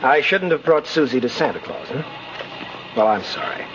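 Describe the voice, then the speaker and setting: A man's voice: mid-range voice, animated, normal speed, lightly acted. An actor playing an easygoing, wryly frank man with a casual manner in a 1950s radio drama. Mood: sheepish. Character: easygoing gentleman friend, apologetic yet wryly frank. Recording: muffled, noisy old radio recording.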